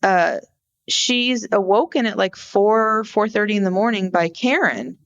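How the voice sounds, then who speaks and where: A woman co-hosting a podcast, explaining a case conversationally in an informal, halting storytelling way. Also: medium pitch, smooth, no echo, lo-fi sound. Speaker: podcast co-host. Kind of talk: conversational true-crime case discussion.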